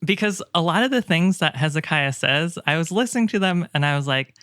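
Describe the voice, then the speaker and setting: Smooth-voiced, thoughtful podcast guest, closely recorded. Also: medium pitch, expressive, fairly quick, fairly bright tone, no room sound, studio quality. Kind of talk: reflective podcast discussion.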